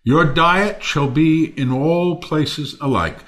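Male actor as embittered noble host, declamatory Shakespearean style; bright, forceful, deliberately slow. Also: on the high side. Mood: solemn, sardonic. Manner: declamatory, theatrical. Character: bitter Athenian lord, mock-gracious host turned raging misanthrope. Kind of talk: Shakespearean audio play reading.

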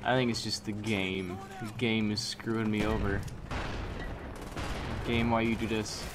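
A man giving live commentary on his shooter gameplay, chatty and talking to himself, sometimes yelling at the game, his voice getting strained. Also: lowish voice, level tone, unhurried, noisy background. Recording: home mic under loud game gunfire.

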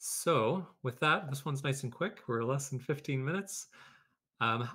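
A man's voice: medium pitch, lively intonation, unhurried, pushed and bright, husky. Male educator, mid-range voice, conversational delivery for a children's read-aloud lesson. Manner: conversational, addressing pupils and teachers. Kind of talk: children's story read-aloud and informal classroom instruction.

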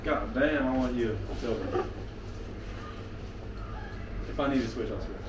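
A young man joking loudly and swearing on a night walk, now and then breaking into song. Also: medium pitch, measured pace, reverberant, far from the mic. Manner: loud joking banter, swearing, bursts into song. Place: shop and city street at night. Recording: loud city street noise.

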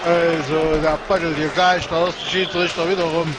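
A man with a regional German dialect giving relaxed, chatty live commentary on a match, with many fillers and short exclamations. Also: a little high, normal speed. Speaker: sports commentator. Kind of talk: casual live commentary on a cycle-ball match. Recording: noisy sports hall with crowd ambience.

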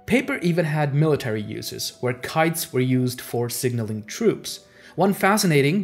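Young male history host, native Urdu speaker, medium pitch, scripted explainer delivery with music underneath. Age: young adult. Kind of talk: scripted educational narration. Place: small home office, indoors. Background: background music.

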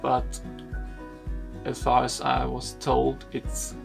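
A young man sits in a wooden boat cabin and explains a refit job to a camera. He talks very slowly, stopping and repeating words as he searches for them. His voice has a rough, gravelly grain, and a little guitar music plays softly behind him. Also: mid-range voice, monotone. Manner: slow, halting, repeats words while explaining. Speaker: sailing vlogger.

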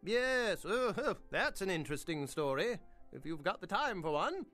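A man's voice: high voice, big pitch swings, unhurried, bright, forceful tone, faint music behind, exaggerated voice. An actor voicing a creepy, obsessive male prosthetics maker in a video game, with a genteel, relishing, slyly unsettling way of talking. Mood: eerie cordial. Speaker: game character.

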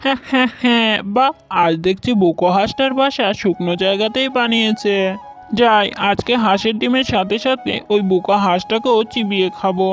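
A sly, greedy cartoon fox played by a voice actor, speaking at an unremarkable middle pitch. The manner is wheedling and falsely chummy, with a schemer's edge. Music plays behind the voice. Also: brisk, close to an everyday voice. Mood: gloating. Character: sly, greedy egg-thieving fox uncle.

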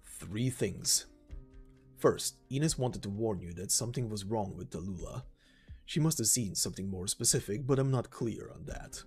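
A man voicing a stern, weary mercenary warrior who cautions gravely in an amateur reading of a game story. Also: on the low side, normal speed, a little mellow, faint music behind, lightly acted. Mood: grave, businesslike.

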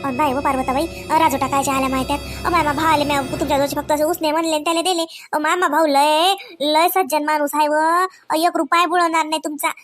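A woman's voice: high voice, steady tone, fast, few pauses, music in the background, close to an everyday voice. A woman voicing an anxious village debtor in a comedy cartoon, pleading plaintively and repetitively with a Vidarbha Marathi accent. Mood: wheedling. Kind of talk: comedy cartoon dialogue in rural dialect.

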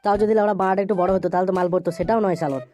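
A woman's voice: on the low side, fairly even intonation, racing, over loud music, close to an everyday voice. A woman voicing a brash, foul-mouthed, exclamatory young man in an amateur comedy cartoon. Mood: mocking, irritated. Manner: sweary, exclamatory, mocking.

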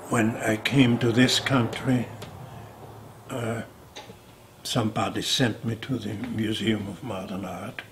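An older man from Austria, a sculptor answering interview questions in a slow, deliberate way with pauses and restarts, seated indoors. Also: medium pitch, slight background noise. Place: indoor studio room.

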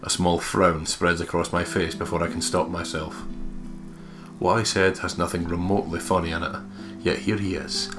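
Deep male voice, let's play host, narration and casual commentary, music underneath. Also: flat delivery, moderate pace. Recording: music behind the voice.

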